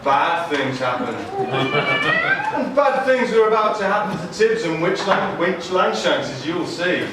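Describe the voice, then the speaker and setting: High-pitched male storyteller, echoey hall. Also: wildly swooping pitch, slow. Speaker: storyteller, narrator. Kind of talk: live storytelling for children's puppet show. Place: large room, live audience. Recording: echoey hall, far from mic, noisy.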